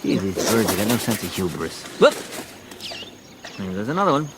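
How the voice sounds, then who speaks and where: An actor playing a wry, bantering American adventurer in a naturalistic radio drama, musing and wisecracking. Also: male voice, on the low side, very animated, moderate pace, very noisy. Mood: playful teasing. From United States. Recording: radio play with background ambience.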